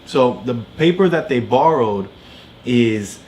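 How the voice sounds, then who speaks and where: A man tutoring a child, casually explaining a storybook in simple, halting steps. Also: medium pitch, animated, darkish tone, a little hiss or hum.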